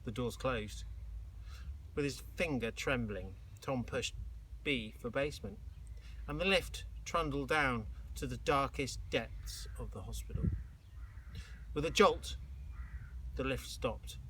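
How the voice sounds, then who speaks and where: Male storybook reader, teacherly and dramatic, forceful bright tone, some background noise on the recording. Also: medium pitch. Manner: dramatic, with exclaimed sound effects and suspenseful pauses. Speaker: teacher reading aloud to pupils. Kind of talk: expressive storybook reading.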